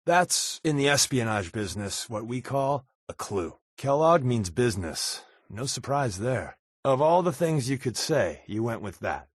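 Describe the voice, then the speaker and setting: A man playing a wry, sarcastic spy companion in a video game, with a deadpan, flippant delivery. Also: medium pitch, a little slow, few pauses, no echo, clean studio sound.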